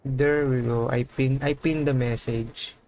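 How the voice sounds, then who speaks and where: A man chatting casually on a game livestream in a halting way, often repeating himself. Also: medium pitch, steady tone, a little mellow. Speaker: livestreamer playing a video game.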